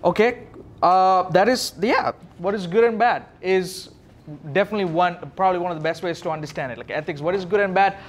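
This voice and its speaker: A man's voice: sits high, a little fast. A man from Sri Lanka teaching as a youth pastor in a casual, question-driven way, explaining things informally. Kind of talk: casual interactive teaching talk.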